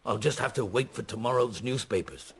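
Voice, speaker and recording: A man's voice: medium pitch, brisk, gravelly, close to an everyday voice. An actor playing a pensive middle-aged police detective in a radio drama, musing and hesitant as he mutters his thoughts. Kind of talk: naturalistic radio drama acting.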